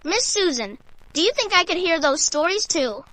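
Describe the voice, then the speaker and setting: A woman playing an eager, curious young boy in a radio drama, with an excited, inquisitive, childlike manner. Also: very high-pitched, moderate pace, heavily acted.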